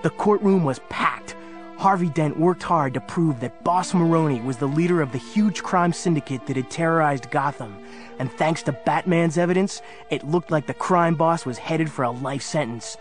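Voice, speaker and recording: A man's voice: on the high side, expressive, moderate pace, faint music behind. A man narrating a scripted story in a dramatic storytelling style.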